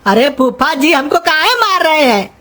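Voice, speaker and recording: Woman voicing a high-pitched cheeky scheming young man. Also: very animated, moderate pace, background noise. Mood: whiny, protesting. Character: cheeky, scheming young male pumpkin-nicknamed servant. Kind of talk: Hindi cartoon comedy voice acting.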